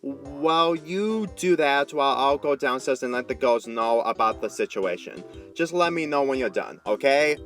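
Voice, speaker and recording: A man's voice: mid-range voice, lively intonation, normal speed, a bit bright, faint music behind, voice pushed up, close to an everyday voice. A man voicing a caring but firm teenage girl who owns a dog, speaking emphatically in a story reading. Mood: casual.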